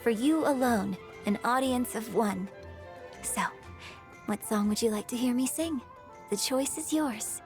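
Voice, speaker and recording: An actress playing a refined, gracious young opera star and troupe director in a video game, speaking in a formal, theatrical manner. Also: female voice, fairly high pitch, measured pace, faint music behind. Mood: warm, gracious. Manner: genteel, theatrical, formal wording. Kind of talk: video game character voice lines.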